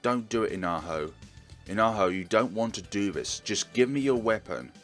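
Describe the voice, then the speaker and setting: A man acts out an earnest young policeman in a visual novel, protective and pleading. His voice is fairly low and urgently emphatic, with quiet music behind it. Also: lively intonation, moderate pace.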